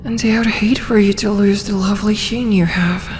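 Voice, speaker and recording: A woman playing a huge, possessive, flirty dragoness in an intimate ASMR roleplay, coaxing and teasing softly, often slipping toward a whisper. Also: mid-range voice, fairly even intonation, moderate pace, slight background noise, close to an everyday voice. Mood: chiding, relenting. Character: huge tsundere female dragon, possessive, flirty, doting captor. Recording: long cavernous reverb.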